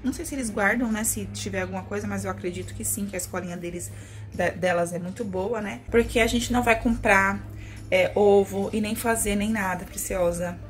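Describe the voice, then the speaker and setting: A woman's voice: mid-range voice, steady tone, fairly quick, faint music behind. A young woman with a Brazilian accent chatting casually to the camera as a vlogger, addressing viewers with pet names. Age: young adult. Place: small rooms at home, indoors. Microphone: phone at arm's length. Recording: noisy handheld home recording.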